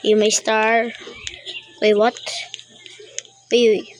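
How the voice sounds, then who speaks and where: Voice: mid-range voice, monotone, extremely slow. A Filipino speaker doing casual game playthrough commentary, chatty and exclamatory, addressing viewers directly. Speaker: gaming vlogger.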